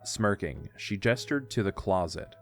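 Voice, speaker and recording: Guy narrator reading a story, lowish voice, faint music behind him. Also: expressive, unhurried.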